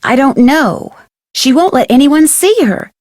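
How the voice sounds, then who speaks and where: A woman's voice: sits high, animated, measured pace, darkish tone, dry recording, studio quality. An actress playing a polite, persistent young female detective in a video game, asking questions in an earnest, casual way. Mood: concerned, doubtful. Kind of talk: scripted video game voice acting.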